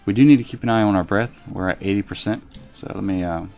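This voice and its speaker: A soft-spoken man with a lowish voice talks through a game on a live stream, reserved and unhurried. He chats with viewers between tasks, with faint game music underneath. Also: gravelly. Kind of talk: casual gameplay commentary, reading chat.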